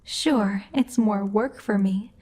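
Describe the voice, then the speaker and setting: A woman playing a stern, impatient female demon lord in an ASMR roleplay, speaking in a haughty, measured, exasperated way. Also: mid-range voice, darkish tone. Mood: stern, threatening. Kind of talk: ASMR roleplay monologue addressed to the listener.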